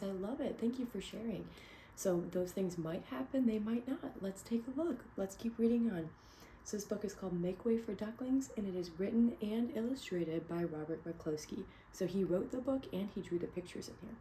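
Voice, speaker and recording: A woman reading a picture book aloud to children as a teacher, lively and encouraging, stopping to ask them questions. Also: mid-range voice, slight background noise, rough recording.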